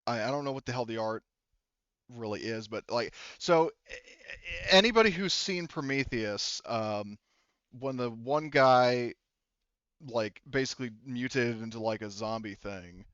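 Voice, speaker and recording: A man running a tabletop game as game master, casually improvising narration in a halting, sweary way as he thinks aloud into a headset. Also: medium pitch, lively intonation, fairly bright tone, clear, resonant tone, no room sound, muffled recording. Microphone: headset mic.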